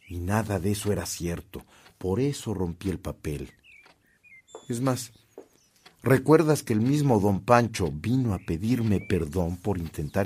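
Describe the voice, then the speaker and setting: An actor playing a humble, forbearing priest in a radio drama, speaking in a measured, earnest way. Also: male voice, fairly low pitch, a little mellow, slight background noise. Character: humble, forbearing priest, adult man of calm conviction.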